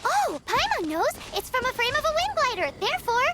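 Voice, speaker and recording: A woman voicing a tiny, childlike fairy companion in a video game, squeaky, perky and cheeky, given to little exclamations. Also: very animated, fairly quick, very noisy. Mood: eager, curious. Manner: refers to herself by name, exclaims, teasing.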